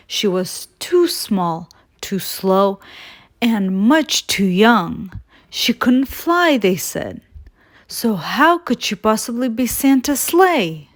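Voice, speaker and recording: Woman doing kids storytime read aloud, really slow n deliberate, expressive. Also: on the high side. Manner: slow, deliberate, dramatic phrasing. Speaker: children's story reader.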